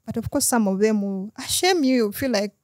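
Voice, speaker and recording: A woman's voice: a little high, normal speed, darkish tone, no echo, studio quality. A Ugandan woman with a Ugandan accent, a podcast guest talking earnestly and casually, often saying "you know" and "exactly". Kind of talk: casual conversational interview.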